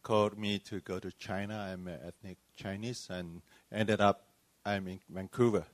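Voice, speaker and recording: Pastor from Malaysia, male, deep-pitched, hesitant phrasing, giving testimony at a panel, dry recording. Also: fairly even intonation, moderate pace, no echo. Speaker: church planting pastor. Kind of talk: personal testimony at a panel discussion.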